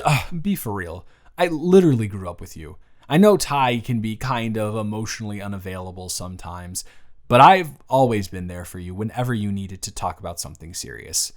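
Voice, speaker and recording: A young man playing a teasing, affectionate best friend of someone's brother in a casual, slangy romantic roleplay audio drama. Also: mid-range voice. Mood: concerned.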